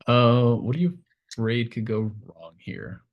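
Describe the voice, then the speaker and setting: A man working as game master, improvising narration and chatting casually in a halting, searching way. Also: medium pitch, big pitch swings, dull audio.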